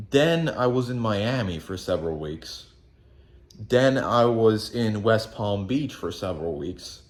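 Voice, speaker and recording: A young man with a slight Polish accent, rambling frankly and swearing casually in a video journal. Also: fairly low pitch. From Poland. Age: young adult. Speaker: vlogger. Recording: echoey room, faint ambience.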